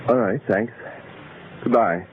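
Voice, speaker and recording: An actor playing a troubled, gentle middle-aged small-town preacher and father in radio horror, speaking haltingly. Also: lowish voice. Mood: shocked, grieving. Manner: hesitant, halting, repeats words. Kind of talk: old-time radio horror acting. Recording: tinny, noisy phone-like radio drama recording.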